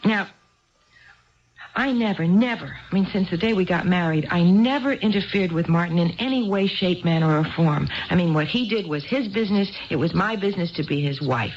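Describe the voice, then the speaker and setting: An actress playing a tipsy, neglected housewife who rambles and confides hesitantly, in a 1970s radio drama style. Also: female voice, fairly low pitch, animated, normal speed, slight background noise, lightly acted. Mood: tipsy confiding. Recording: dull 1970s radio broadcast recording.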